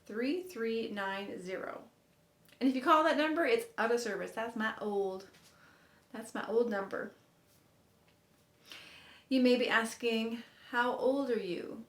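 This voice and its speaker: A woman in her fifties teaching a sign language lesson, counting aloud and encouraging viewers in an upbeat way. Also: fairly high pitch. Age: 50s. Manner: upbeat, encouraging, laughs at own slips. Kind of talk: counting aloud while demonstrating signs. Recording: echoey room.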